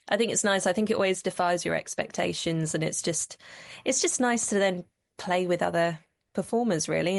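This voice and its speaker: Actress chatting in an interview, lowish n creaky, no echo. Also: female voice, studio quality. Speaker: actor, interview guest. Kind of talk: casual, reflective chat.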